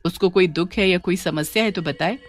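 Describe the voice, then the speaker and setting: A woman's voice: on the low side, fairly quick, faint music behind. A woman working as a story narrator, reading a scripted horror tale.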